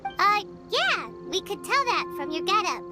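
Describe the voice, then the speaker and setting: A woman voicing a tiny, chirpy floating girl companion from a game, blunt and excitable, heard over loud background music. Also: a little slow, exaggerated voice. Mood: skeptical. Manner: squeaky, excitable, blurts out thoughts.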